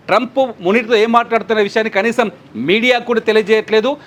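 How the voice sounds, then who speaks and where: A man speaks as a news analyst in a high voice. He talks quickly, explaining the situation and repeating points for emphasis. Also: steady tone, fairly bright tone. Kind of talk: live news analysis and commentary.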